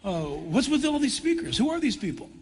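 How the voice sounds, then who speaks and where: An American man, a middle-aged or older pastor from California, addressing a church conference in an earnest, conversational preaching style with deliberate pauses. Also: very high-pitched, very animated. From California, United States. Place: large church hall. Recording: reverberant large hall, live PA sound.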